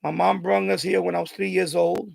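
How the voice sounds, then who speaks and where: A man's voice: a little fast, creaky. A man in his fifties with a heavy Bronx accent, chatting animatedly on his radio hobby live stream. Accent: Bronx New York. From born in Puerto Rico, raised in the Bronx. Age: 50s. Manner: animated, high intensity, worked up. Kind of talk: casual live stream chat.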